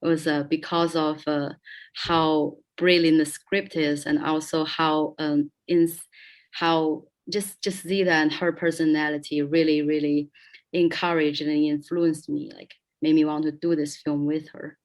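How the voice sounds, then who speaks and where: Woman, film producer, earnest, restarts sentences, lowish pitch, video call. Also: flat delivery, measured pace, low-quality recording. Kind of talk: casual video interview.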